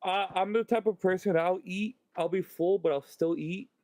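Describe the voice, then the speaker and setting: A man's voice: sits high, steady tone, moderate pace, over a noisy crowd. A man talking casually and candidly as a podcast panelist, a little self-effacing about himself. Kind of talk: casual personal chat.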